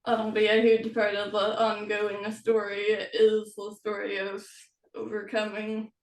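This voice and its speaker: Slow-talking woman, far from the mic. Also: mid-range voice, completely flat delivery. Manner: hesitant, laughs often between phrases. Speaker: author and interview host. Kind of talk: casual interview chat. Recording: roomy, distant mic.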